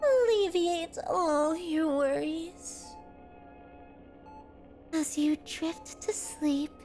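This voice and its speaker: A woman's voice: high voice, dark tone. A woman playing a doting, sinister, genteel female captor in a theatrical ASMR roleplay, with an ornate, tender, possessive way of speaking. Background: background music.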